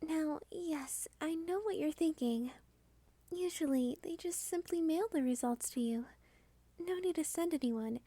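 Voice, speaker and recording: Woman playing a high, soft, slow-talking vampire girl. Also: no room sound. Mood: polite, coy. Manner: soft-spoken, polite, teasing, deliberate. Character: cute dominant female vampire, polite, coy, quietly possessive. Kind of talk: ASMR roleplay.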